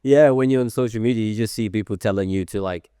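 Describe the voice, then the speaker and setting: Low, smooth-voiced man in his thirties. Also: quick pace, mellow, dry recording, clean studio sound. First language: French. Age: 30s. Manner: chatty, rambling, many fillers. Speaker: guest, creative director. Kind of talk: casual interview.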